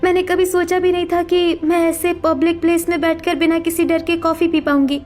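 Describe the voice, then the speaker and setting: A woman's voice: very high voice, flat delivery, a little fast, darkish tone, soft background music. A woman voicing a sensitive young sister-in-law with earnest emotion in cartoon story dialogue. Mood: grateful, emotional.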